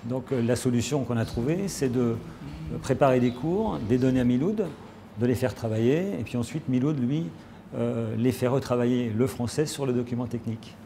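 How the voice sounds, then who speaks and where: A trainer with a mellow, darker voice explains his methods in a plain, matter-of-fact way. A faint noise sits under his voice. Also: mid-range voice, moderate pace. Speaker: vocational trainer. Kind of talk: documentary interview.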